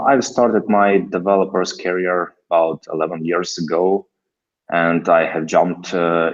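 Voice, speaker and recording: A very deep-voiced man with a Ukrainian accent speaks haltingly on a video call, pausing often with fillers. The call audio is dull and lo-fi. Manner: hesitant, many fillers and restarts. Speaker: guest developer. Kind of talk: casual video call interview.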